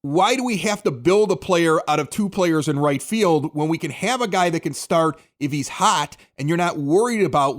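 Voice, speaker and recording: A middle-aged American man hosting a sports podcast, giving opinionated, emphatic conversational commentary. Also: fairly high pitch. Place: home basement studio.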